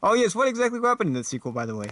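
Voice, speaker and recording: A man voicing a fussy, bumbling, eager talk show host who speaks haltingly and repeats himself. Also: high voice, very animated, bright, forceful tone, voice pushed up, exaggerated voice.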